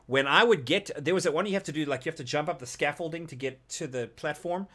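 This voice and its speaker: Higher-voiced man on a gaming podcast. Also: quick pace, sharp tone. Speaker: podcast co-host. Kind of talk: casual gaming panel discussion.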